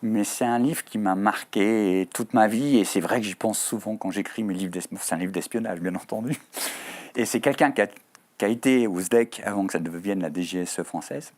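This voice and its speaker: A man with a Swiss French accent answers questions as an author in a televised interview. His voice sits fairly low. He talks in a thoughtful way, pausing and filling gaps as he goes. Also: darkish tone. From Switzerland. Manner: thoughtful, hesitant with frequent fillers. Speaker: author guest. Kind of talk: TV studio interview.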